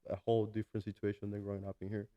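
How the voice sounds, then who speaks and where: A man in his early thirties from Mexico with a Mexican Spanish accent, a guest talking casually in an interview with many pauses and restarts. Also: lowish voice, monotone, highs cut off. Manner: hesitant, many fillers and restarts. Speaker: guest, food truck owner.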